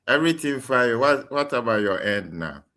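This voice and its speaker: A man's voice: medium pitch, expressive, moderate pace, pushed and bright. A man hosting a casual interview, asking questions in a conversational way. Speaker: interviewer, host.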